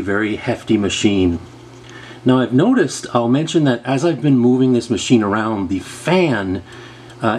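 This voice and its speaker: A man's voice: mid-range voice, a little mellow. A man giving a casual, methodical demonstration of equipment as a review host, explaining step by step. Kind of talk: casual product demonstration narration. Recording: clear background noise.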